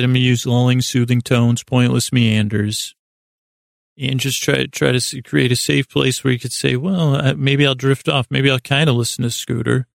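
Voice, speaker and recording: A man with a creaky, gravelly voice hosting a sleep podcast, delivering a meandering, hesitant, self-deprecating bedtime monologue full of trailing asides. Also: mid-range voice, no echo, clean studio sound. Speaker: podcast host. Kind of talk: rambling bedtime monologue meant to lull listeners to sleep.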